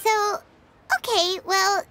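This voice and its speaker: A woman voicing a small, childlike floating companion in an animated game, curious, easily confused and squeaky. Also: takes it slowly, background noise. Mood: puzzled, curious. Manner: refers to self in third person, blunt questioning. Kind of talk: animated game character voice acting. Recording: music playing behind the voice. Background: game music.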